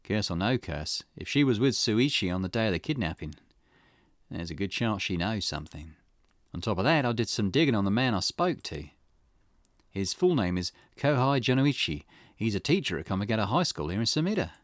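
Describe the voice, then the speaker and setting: A man's voice: on the low side, big pitch swings, brisk, no echo. A man voicing a skeptical, plain-spoken private detective with a dry, questioning manner in a visual novel. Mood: reflective. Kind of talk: character voice reading visual novel dialogue.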